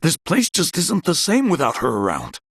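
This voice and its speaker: Game bit part: town hawker with very animated delivery, close and dry. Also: mid-range voice, moderate pace, slightly rough, no room sound, full character voice. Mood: concerned. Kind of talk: video game character acting.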